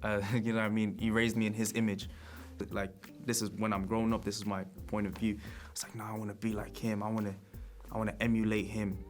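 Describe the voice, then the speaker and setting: A young man from Nigeria, a rapper speaking in a documentary interview in a relaxed, reflective way, often chuckling. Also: lowish voice, fast.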